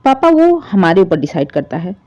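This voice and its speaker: A woman's voice: mid-range voice, very animated, moderate pace, mellow, faint music behind, lightly acted. A woman voicing a selfish adult son in a moral story, insistent and complaining as he presses his father. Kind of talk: dramatised Hindi moral story. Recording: dull, muffled lo-fi audio.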